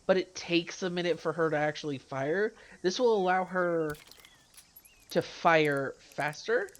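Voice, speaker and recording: A man with a stuffy nose from a cold, casually commenting on his gameplay and rambling through weapon stats, correcting himself as he goes, with faint game music behind him. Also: on the high side. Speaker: let's play host.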